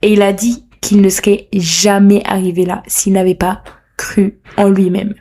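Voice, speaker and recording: A woman's voice: medium pitch, flat delivery, a little slow, mellow, slightly rough. A young woman talks through her podcast alone from a room at home. She is chatty and playful, teases herself and gushes with enthusiasm. Her throat is a little sore. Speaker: podcast host. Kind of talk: casual solo podcast commentary.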